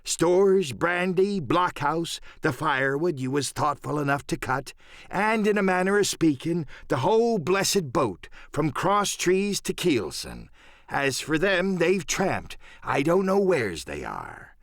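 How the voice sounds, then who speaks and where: Crafty old pirate, blustery threats, voice swinging all over, dry close audiobook sound. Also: male voice, on the high side, very animated, slow pace, dry recording, voice pushed up, heavily acted. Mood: sly, boastful. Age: older. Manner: blustering, threatening, colourful sailor talk. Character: menacing, cunning one-legged old pirate sea cook.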